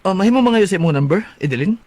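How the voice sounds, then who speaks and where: A man's voice: high-pitched, animated, racing, a little mellow, soft background music. A man acting as a bashful, lovestruck security guard in a Bisaya radio drama, hesitant and pleading, with a Cebuano accent. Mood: nervous, eager.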